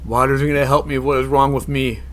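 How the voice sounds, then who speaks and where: A man's voice: mid-range voice, little pitch movement, quick pace, fairly bright tone, close to an everyday voice. A man playing a hapless, hot-tempered monster hunter in a comedy-horror audio drama, pleading and exasperated. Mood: miserable, flustered.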